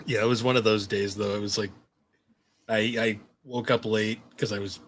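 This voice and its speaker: Young male podcast co-host, low relaxed voice, slight hiss behind. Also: moderate pace. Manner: laid-back, chuckles while telling stories. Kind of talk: casual chat.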